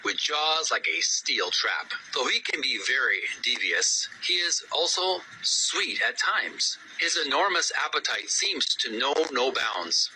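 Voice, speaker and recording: Male synthetic narrator, very bright and tense, swooping intonation, slips on words, faint music. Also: medium pitch, big pitch swings, a little slow, few pauses, slight background noise, rough recording. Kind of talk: scripted encyclopedic narration.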